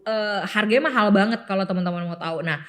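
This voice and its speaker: A young woman with a Jakarta Indonesian accent talks in a medium-pitched voice. She chats casually like a podcast host sharing memories. Her delivery is animated and slangy, with the odd English phrase. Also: fast talker. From Jakarta area, Indonesia. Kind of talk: casual nostalgic chat.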